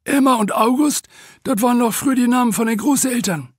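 A man's voice: very high voice, brisk, husky, dry recording, voice pushed up. A wry snack-bar regular, put on by a male narrator, makes amused small talk in a raised voice. It is audiobook character reading in a dry recording.

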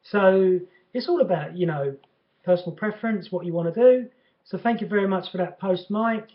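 Higher-pitched man on a dull webcam recording. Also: moderate pace. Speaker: personal trainer. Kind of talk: casual opinion vlog talk to camera. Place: bright furnished living room at home. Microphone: webcam mic at about arm's length.

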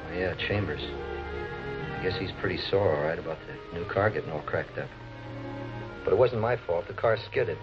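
A deep male voice from an actor plays a streetwise chauffeur, slangy, evasive and defensive. It is 1940s TV crime drama acting, with music behind it and a muffled old film sound. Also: normal speed, long pauses, lightly acted. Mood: defensive, puzzled.

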